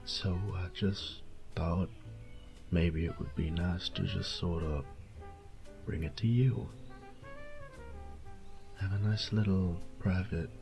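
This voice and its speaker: A very deep-voiced boyfriend, played by a male voice actor, doting and playful as he speaks straight to his darling. His delivery is unhurried and drawling, swinging between teasing and tender coaxing in an intimate roleplay, with music softly behind him. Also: fairly even intonation, vocal fry, heavily acted. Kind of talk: intimate scripted audio roleplay addressed to the listener.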